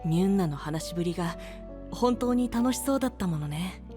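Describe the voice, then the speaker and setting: A gentle, androgynous boyish voice, composed and tender over background music. He sounds like a considerate young knight in an anime audio drama. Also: high voice, expressive, fairly quick. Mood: tender, understanding. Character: gentle, soft-spoken boy knight, calm, considerate elder twin type. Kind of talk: anime-style voice drama acting.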